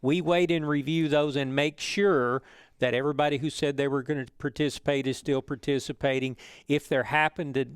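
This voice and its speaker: Man, official presenter, explanatory webinar talk, measured, formal wording, crisp voice, dry sound. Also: on the high side, steady tone, no room sound.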